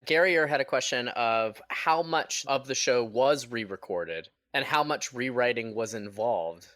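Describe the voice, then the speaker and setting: A man's voice: mid-range voice, unhurried, a bit bright, no room sound. A man co-hosting a podcast, casually reading out questions sent in by listeners.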